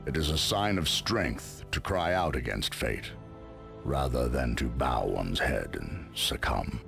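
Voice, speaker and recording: An actor playing a stern, stoic imperial orator in a video game, delivering a grave and measured monologue. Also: male voice, extremely low pitch, animated, creaky, fairly bright tone, faint music behind, full character voice. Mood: grave reflection.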